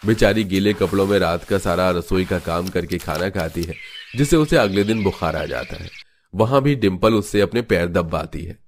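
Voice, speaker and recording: A man's voice: sits low, normal speed, a little hiss or hum. A man narrating a scripted cartoon family story.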